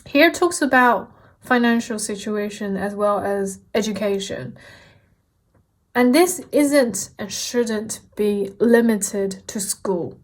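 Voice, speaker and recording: A woman whose first language is not English, giving a gentle, encouraging tarot card reading and repeating key phrases. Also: mid-range voice, takes it slowly. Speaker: tarot reader.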